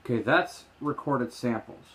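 A man with a mid-pitched voice gives a casual, unscripted explanation. He meanders and halts as he goes, offering his opinions while demonstrating. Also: measured pace, a bit bright, slightly rough. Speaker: vlogger demonstrating screen reader voices. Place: small room indoors. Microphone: handheld phone, later lying on desk.

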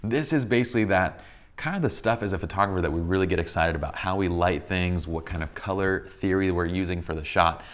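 A man in his thirties with a deep, slightly tired voice, explaining photography basics in a casual studio tutorial. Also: fast talker, fairly bright tone. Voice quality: deep voice, a bit tired from a long day. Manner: enthusiastic, explains with many examples and restarts. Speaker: photography instructor. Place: bright indoor studio set with a sofa.

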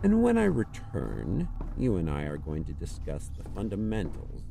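A man's voice: low-pitched, wildly swooping pitch, normal speed, gravelly, warm tone, slight background noise, heavily acted. An actor playing a pompous, condescending corporate councilman in an audio drama, cold and authoritarian, with clipped formal delivery. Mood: smug, menacing.

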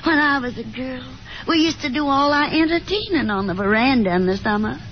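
A woman's voice: high voice, animated, fairly quick. An actress playing a fussy, talkative, hopeful middle-aged mother in a 1950s radio drama, with a dramatic, insistent manner. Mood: wistful chatter. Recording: dull, noisy 1950s radio broadcast.

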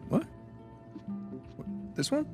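An actor playing a wry, evasive, good-natured adventurer in his thirties in a video game, talking casually and haltingly with dry jokes. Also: lightly acted. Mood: flustered. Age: 30s. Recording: music behind the voice.

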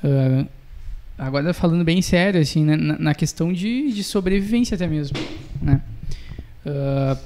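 A man's voice: a little high, moderate pace, a little mellow, slight background noise. A young man with a southern Brazilian accent chatting casually as a guest musician into a close podcast mic. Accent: southern Brazilian (gaúcho). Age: young adult. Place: small indoor podcast studio. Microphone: close studio mic on a boom arm.

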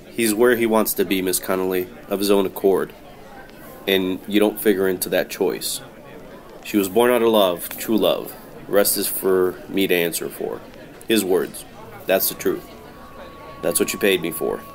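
An actor playing a world-weary, hard-boiled detective in an old-time radio noir drama, deadpan and measured. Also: male voice, low voice. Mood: somber, gentle.

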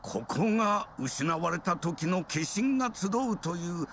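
Elderly video game companion, old-fashioned measured speech, animated high pitch, some background noise. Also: male voice, heavily acted. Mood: calm wonder. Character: spry old grandfatherly man, game party companion.

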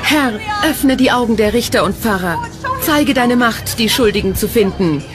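A devout, accused Puritan woman, played by an actress, pleads earnestly in a slightly high voice. It is a historical re-enactment, with loud music and a noisy crowd around her. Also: animated, normal speed, close to an everyday voice. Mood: pleading. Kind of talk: dramatized documentary re-enactment.

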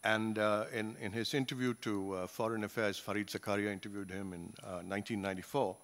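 An older man gives a panel talk at a conference table, speaking into a gooseneck microphone. His delivery is measured and thoughtful, often pausing on fillers and restarting his phrases. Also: on the low side, flat delivery, fairly bright tone. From Singapore. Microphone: table gooseneck mic.

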